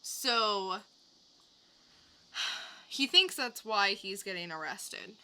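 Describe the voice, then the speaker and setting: A woman's voice: mid-range voice, expressive, drawn-out words, pushed and bright, clear, resonant tone. A woman co-hosting a podcast, recapping and reviewing in a casual, conversational way. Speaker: podcast co-host reviewing comics.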